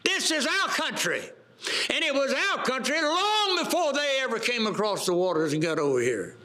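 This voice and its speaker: A man with a Southern US accent, speaking forcefully in the style of a sermon. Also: very high-pitched, very animated, normal speed.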